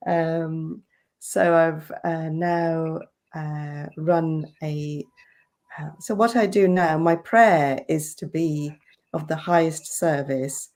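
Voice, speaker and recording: A woman's voice: deep voice, fairly even intonation, slow, a little mellow. A middle-aged woman from India, raised in England, introducing herself casually as a guest in a candid, hesitant way. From born in India, raised in England from age eight. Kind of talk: casual self-introduction in interview.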